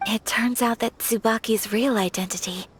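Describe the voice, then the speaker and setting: A woman voicing a gentle, courteous young noblewoman. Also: fairly high pitch, steady tone, slight background noise, lightly acted. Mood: earnest. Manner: soft-spoken, measured and courteous. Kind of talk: video game dialogue. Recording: game audio with faint music.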